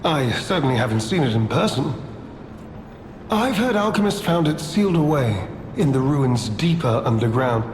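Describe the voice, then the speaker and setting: An actor playing a wry, shrewd man trading rumors in a video game, measured and conversational. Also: medium pitch, lively intonation, natural voice. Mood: wry, intrigued. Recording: echoey hall, faint background noise.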